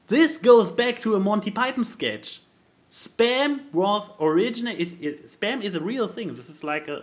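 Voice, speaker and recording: Male podcast co-host, fairly high voice, very lively intonation, casual, emphatic, repeats words for effect. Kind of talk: casual chat.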